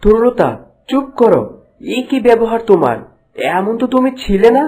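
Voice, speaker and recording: A man voicing a gentle, earnest young prince in a cartoon. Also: extremely high pitch, very animated, moderate pace. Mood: sharp rebuke. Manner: earnest, pleading with his father. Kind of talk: Bengali cartoon dubbing.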